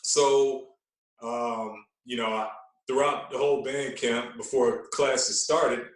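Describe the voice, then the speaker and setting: A man speaking as a podcast guest in casual storytelling style, animated and given to repeating himself. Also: mid-range voice, takes it slowly, roomy sound, distant mic, poor audio. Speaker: podcast guest, radio personality.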